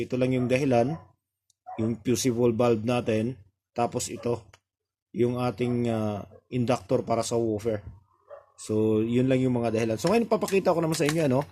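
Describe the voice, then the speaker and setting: Male repair tutorial presenter, Filipino accent, mid-pitched mellow voice, casual and halting hands-on explanations. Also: slight background noise. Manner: halting, explains step by step with pointing asides. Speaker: audio repair technician and reviewer.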